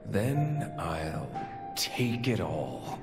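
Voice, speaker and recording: A deep, slow, menacing game villain, brooding and defiant. Terse words echo over music. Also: male voice, big pitch swings, full character voice. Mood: defiant, demanding. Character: brooding, defiant villainous son confronting his maker. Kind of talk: dramatic video game voice acting. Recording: echoing space, music under voice.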